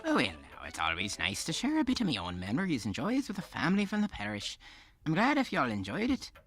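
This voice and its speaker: A man's voice: fairly high pitch, huge pitch swings, fairly quick, fairly bright tone, soft background music, heavily acted. A man playing a genial parish storyteller, telling a fireside tale theatrically. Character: genial Irish parish storyteller, Mister Clancy.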